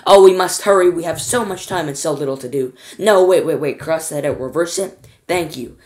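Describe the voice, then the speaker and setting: Reader acting an excitable, exclamatory eccentric inventor. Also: mid-range voice, brisk, lightly acted. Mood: excited, hurried. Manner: exclamatory, grandly polite. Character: excitable, hurried, boastful eccentric male chocolate-maker inventor.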